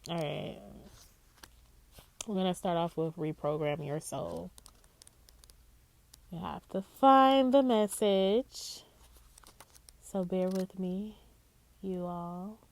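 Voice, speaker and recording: A woman's voice: fairly low pitch, expressive, creaky, a little mellow. A woman reading tarot-style cards in a casual spiritual chat, with a nasal, congested voice, often checking in with the listener. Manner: slow and drawn-out, with frequent "okay?" check-ins. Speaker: psychic tarot reader.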